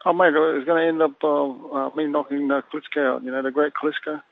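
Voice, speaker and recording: A man's voice: a little high, little pitch movement, creaky, no room sound. Man from Samoa on a tinny phone line. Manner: halting, leans heavily on "you know" fillers. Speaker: boxer being interviewed. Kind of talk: phone interview.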